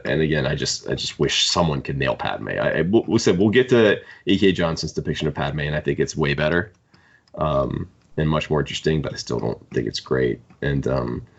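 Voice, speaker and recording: A man hosting a podcast, casually discussing books in a halting, opinionated fan's way. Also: very deep voice, expressive, creaky, breathy edge. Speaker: podcast host. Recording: dull lo-fi podcast audio.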